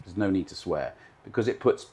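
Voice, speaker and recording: A man in his fifties, originally from Britain, speaks in a fairly low register as a guest in an interview. He talks with confidence and emphasis, often lining up his points in repeated, list-like runs. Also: lively intonation, fairly quick, mellow, breathy edge. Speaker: guest, live TV director. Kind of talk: interview answers.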